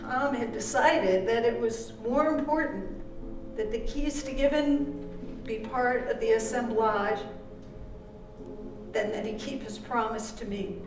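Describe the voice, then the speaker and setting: A woman reads her own literary prose aloud at a live event, slowly and deliberately, in a fairly high voice. She is picked up from a distance in an echoing room. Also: music in the background. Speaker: author reading from own book. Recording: roomy, distant microphone with background noise.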